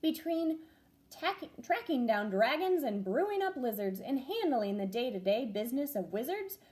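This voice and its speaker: A young woman, a first grade teacher, reading a rhyming picture book aloud with expressive, sing-song storytelling. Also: sits high, normal speed.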